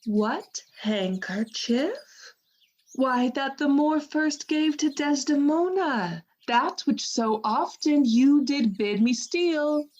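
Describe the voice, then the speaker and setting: A woman's voice: sits high, animated, slow, darkish tone. A woman playing a teasing yet uneasy maidservant and wife in a Shakespearean radio drama. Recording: dull, muffled lo-fi sound.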